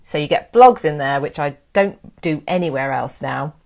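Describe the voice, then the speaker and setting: A woman hosting a casual history live stream, chatty and excitable, thanking viewers by name. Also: low-pitched, moderate pace, vocal fry. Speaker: history livestream host.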